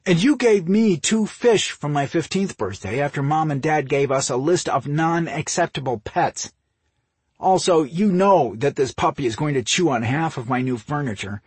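Man voicing an exasperated, husky little brother. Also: on the high side, expressive, moderate pace, no echo, pitched above the performer's own voice. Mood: arguing. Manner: grumbling, emphatic, exasperated. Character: exasperated, grumbling adult younger brother.

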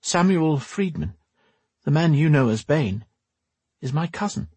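A man's voice: fairly high pitch, expressive, unhurried, a little mellow, slightly rough, no echo, voice pushed up. A man voicing a firm, formal young noblewoman with a commanding manner in an audiobook. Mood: apologetic, assured. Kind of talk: audiobook character dialogue.

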